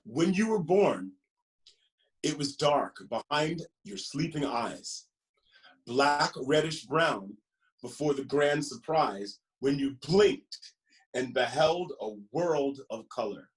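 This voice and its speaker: A man reading a children's picture book aloud expressively, with gentle emphasis and friendly asides to the listener. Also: fairly high pitch, expressive, slow pace, poor audio. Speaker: storybook reader.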